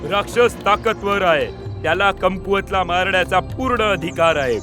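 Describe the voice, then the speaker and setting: A man voicing a grave forest sorcerer in an animated fable, with a solemn, authoritative manner. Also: high voice, normal speed, over music, lightly acted. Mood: stern. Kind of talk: dubbed animated moral fable.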